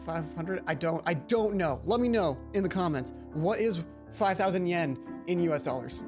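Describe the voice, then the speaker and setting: A woman's voice: lowish voice, animated, moderate pace, music in the background. A woman hosting a let's play, mixing casual, sweary commentary with reading a visual novel's narration aloud.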